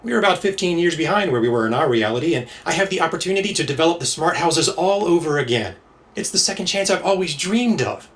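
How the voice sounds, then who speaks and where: A man's voice: a little high, lively intonation, fast talker, a bit bright, slightly rough, exaggerated voice. An actor playing an earnest male scientist in an audio drama, speaking in a precise, explanatory way. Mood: upbeat, apologetic. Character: earnest male scientist, husband absorbed in his work.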